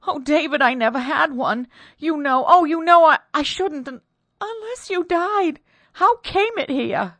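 A woman voicing a terrified middle-aged housewife who pleads in a high, frantic, breathless voice. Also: animated, a little slow, hardly any pauses, dark tone, no echo.

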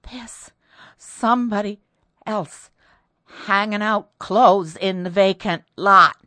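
A woman's voice: medium pitch, expressive, drawn-out words, a little mellow, breathy edge, dry recording, voice pushed up, full character voice. A woman narrator voicing a terrified middle-aged country maid in a storybook, faint, gasping and hesitant. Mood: horrified, faint.

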